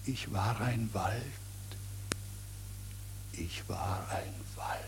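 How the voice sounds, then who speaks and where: A man playing a dead old forest in a radio drama, mournful and accusing, declaiming solemn verse that often sinks into whispers. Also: drawn-out words. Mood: mournful whispering. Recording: noisy track with low hum.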